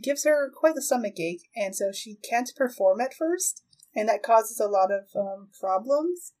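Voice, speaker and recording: Woman, slightly high voice, podcast host, casual recap, halting, giggly delivery. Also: expressive, a little mellow. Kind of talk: casual chat, recapping an anime.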